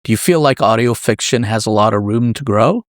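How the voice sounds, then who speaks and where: Low-voiced man interviewing, very dry sound. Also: brisk, dry recording. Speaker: podcast host, interviewer. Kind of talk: conversational interviewing.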